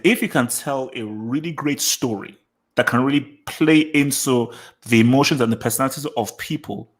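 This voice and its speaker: A man hosting a casual podcast chat, arguing his point with animated emphasis and lots of repeated words. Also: mid-range voice. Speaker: podcast host.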